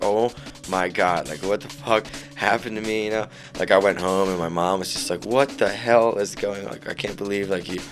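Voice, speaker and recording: A young man, a band singer, chatting casually in an interview, laid back, swearing freely and filling his talk with "like" and "man". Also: sits low. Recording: loud music playing behind.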